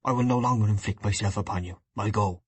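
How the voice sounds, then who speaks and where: An earnest, idealistic young man speaks in a low, husky voice, as a man puts him on. He sounds steady and grave. The recording is close and dry. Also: fast talker, no echo. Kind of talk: audiobook dialogue reading.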